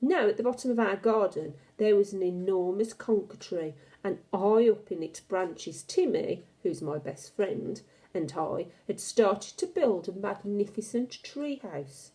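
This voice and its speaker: A woman reading a children's novel aloud as an expressive, dramatic and deliberate storyteller. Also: mid-range voice.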